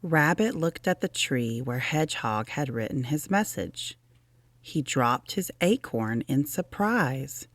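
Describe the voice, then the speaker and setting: A woman reading a children's story aloud as an expressive storyteller. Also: rumbling low, takes it slowly, dry recording.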